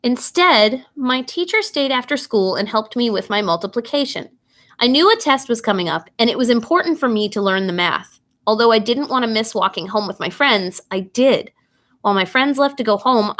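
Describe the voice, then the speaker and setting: A woman reading a children's bedtime story aloud in an expressive, animated way, putting questions to young listeners. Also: a little high, moderate pace.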